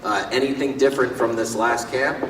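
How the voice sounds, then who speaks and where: A man's voice: mid-range voice, steady tone, fairly quick, very noisy. Guy moderating a press conference, echoey room, kinda far from the mic. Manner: smooth, leading questions with fillers.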